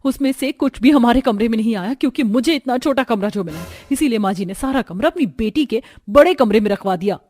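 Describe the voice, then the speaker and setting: A woman's voice: on the high side, animated, fairly quick, hardly any pauses, a little mellow. A woman voicing an aggrieved, argumentative young daughter-in-law in a Hindi family cartoon, with a nagging, indignant manner. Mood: resentful.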